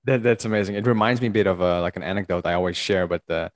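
A man with a low voice hosting a podcast interview. He talks casually and loosely, often restarting his sentences. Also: rapid-fire. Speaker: podcast host.

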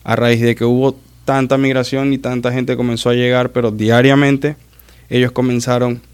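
Smooth-voiced man telling stories. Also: medium pitch, little pitch movement. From Maracaibo, Venezuela. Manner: chatty storyteller, quick self-corrections. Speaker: guest, muralist. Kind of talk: casual interview chat.

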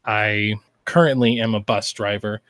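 A man's voice: lowish voice, fairly even intonation, unhurried, fairly bright tone, dry recording, clean studio sound. An American man from Idaho hosting a podcast in a rambling, informal, chatty way. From Idaho, United States. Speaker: podcast host. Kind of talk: casual chat.

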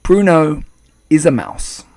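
A man voicing a calm, frosty old Norwegian grandmother in a storybook, matter-of-fact and unflustered. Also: fairly high pitch, slow pace, voice pushed up. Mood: calm, patient.

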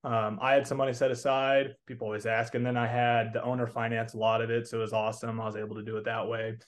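A man's voice: medium pitch, little pitch movement, quick pace. A man in his twenties from Texas with a Southern accent, answering interview questions in an earnest, rambling way with many fillers. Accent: Southern American. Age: 20s. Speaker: guest, young entrepreneur.

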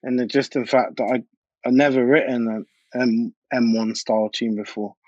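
A man's voice: mid-range voice, flat delivery, moderate pace, darkish tone, no echo, lo-fi sound. A British man, a DJ and producer, chatting informally and anecdotally as an interview guest. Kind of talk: casual interview chat.

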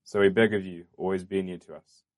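Earnest low-voiced male podcast host. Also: fairly even intonation. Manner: earnest, measured and welcoming, with fillers. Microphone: studio microphone.

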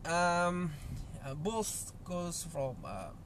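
Slow, halting Malaysian man. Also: sits high, very animated, background noise. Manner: halting, many fillers and restarts, chuckles. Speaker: podcast host. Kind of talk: casual solo podcast intro.

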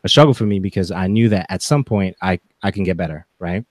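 A man in his forties talking reflectively as a podcast guest, with halting, deliberate phrasing. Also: low-pitched, dry recording, studio quality. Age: 40s. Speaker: podcast guest, gaming content creator. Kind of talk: reflective interview talk.

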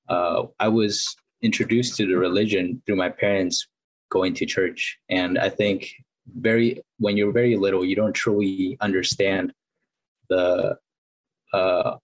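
A man's voice: deep voice, no room sound, highs cut off. A man in his twenties from Peru, answering interview questions hesitantly with many fillers and restarts. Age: 20s. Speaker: guest.

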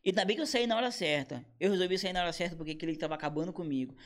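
A man in his thirties, a comedian and motion designer, chatting casually in a slangy, animated way with quoted asides. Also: high voice, racing. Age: 30s. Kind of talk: casual podcast conversation.

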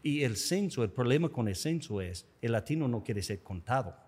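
Upbeat joking American host speaking Spanish. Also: male voice, medium pitch, lively intonation, normal speed, a little mellow, husky, in a large hall. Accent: American English. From United States. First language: English. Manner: jokey, upbeat, self-deprecating. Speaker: podcast host. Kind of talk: casual interview.

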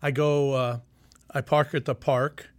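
A man's voice: mid-range voice, level tone, measured pace, dry recording. A retired sheriff in his sixties from Sioux City, chatting easily about old times with lots of fillers. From Sioux City, Iowa. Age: 60s. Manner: reminiscing, easygoing, trails off with fillers. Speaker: retired county sheriff, guest. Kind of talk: casual interview chat.

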